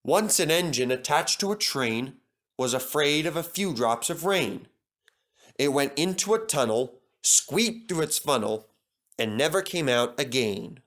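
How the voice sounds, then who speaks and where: A man's voice: fairly high pitch, lively intonation, measured pace, fairly bright tone. A man reading a children's storybook aloud as scripted narration. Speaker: storybook reader.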